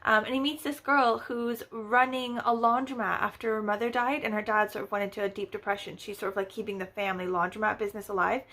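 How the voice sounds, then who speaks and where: Casual book vlogger, a woman with mid-range pitch; chatty, rambling, wry. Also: steady tone, fast talker, hardly any pauses, fairly bright tone. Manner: chatty, filler-heavy, rambling. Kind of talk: casual book review chat.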